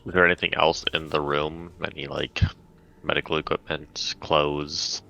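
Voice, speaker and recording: An extremely deep-voiced man with a gravelly creak talks casually as a player in a tabletop game. His delivery stays fairly even in pitch. The recording is a little dull, with faint noise. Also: moderate pace. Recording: slightly dull audio, faint background noise.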